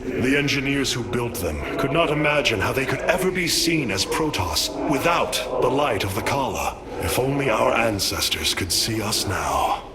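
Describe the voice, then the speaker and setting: A man's voice: medium pitch, lively intonation, normal speed, very noisy, lightly acted. A man playing a noble alien military leader in an epic video game cutscene, formal and oratorical. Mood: warm pride. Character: noble, commanding male alien war leader.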